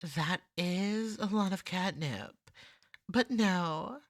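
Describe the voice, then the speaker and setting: A woman's voice: fairly low pitch, slow, no room sound, clean studio sound. A woman voicing a jealous, possessive cat girl in an ASMR roleplay, snide and self-satisfied but cooing and lovesick.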